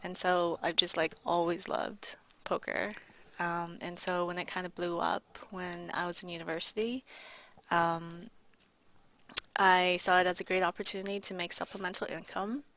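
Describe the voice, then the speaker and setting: A woman in her thirties from Canada talks casually about herself as an interview guest. Her voice sits a little low with a gravelly fry. She speaks in a relaxed way with plenty of fillers. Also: moderate pace. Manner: relaxed, many fillers, laughs easily.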